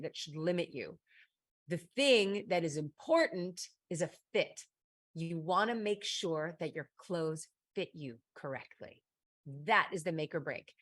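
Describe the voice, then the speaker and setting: A middle-aged woman chatting on her livestream, emphatic and loose, full of little asides. Her voice sits a touch low for a woman. The sound is close and dry, with no room around it. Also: no room sound. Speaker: livestream advice show host. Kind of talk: casual livestream chat reading viewer comments.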